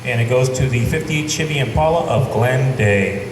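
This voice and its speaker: A man's voice: medium pitch, moderate pace, heavy background noise. A man presenting car awards at a model contest, plain and matter-of-fact. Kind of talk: award announcement. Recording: echoey hall from far off.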